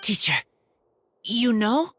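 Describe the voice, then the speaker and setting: A woman voicing an earnest, quiet teenage boy raised by wolves in a video game, speaking in terse, halting, simple phrases. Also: on the high side, sing-song, slight background noise, exaggerated voice. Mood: halting, thoughtful.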